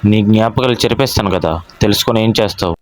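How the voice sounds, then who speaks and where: A man voicing a calm, world-weary grown-up ninja in an anime fan dub, speaking in a grave, restrained way. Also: fairly low pitch, racing, lightly acted. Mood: calm, firm. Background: background music.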